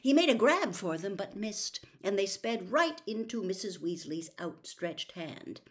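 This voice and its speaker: Expressive woman reading a storybook aloud. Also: medium pitch, measured pace. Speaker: audiobook reader.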